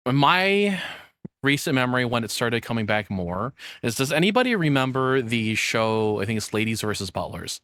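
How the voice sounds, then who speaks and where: Low-voiced man reacting casually on stream. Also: a bit bright, dry recording, studio quality. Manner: playful, tongue-in-cheek. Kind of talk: casual live reaction commentary.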